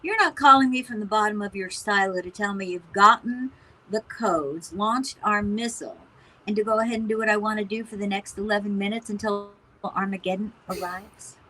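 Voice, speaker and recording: Woman acting a sharp-voiced, sardonic, frazzled mother. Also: mid-range voice, a little fast, rough recording. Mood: weary, guarded. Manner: dry, sarcastic, exasperated. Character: frazzled, sardonic mother of a grown military son. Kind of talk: naturalistic stage-play dialogue.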